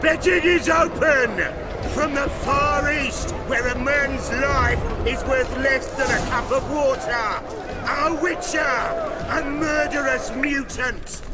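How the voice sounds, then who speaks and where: Game arena announcer: very high, drawn-out, bombastic showman proclaiming fights over a roaring crowd. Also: fairly even intonation. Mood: grandiose, rousing. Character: bombastic male fighting-pit announcer whipping up a bloodthirsty crowd. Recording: loud music and roaring crowd behind. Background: loud music, cheering crowd.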